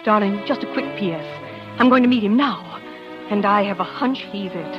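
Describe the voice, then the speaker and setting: An actress playing an anxious, devoted young wife turned coy amateur sleuth, speaking haltingly in 1940s radio style. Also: female voice, medium pitch, lightly acted. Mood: nervous, confiding. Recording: muffled vintage radio recording with music.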